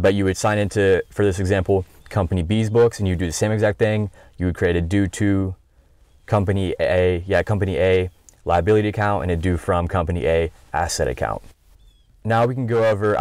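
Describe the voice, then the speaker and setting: An American man in his thirties explaining things conversationally in an informal screen-share software tutorial. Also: sits low, no echo. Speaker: tutorial instructor.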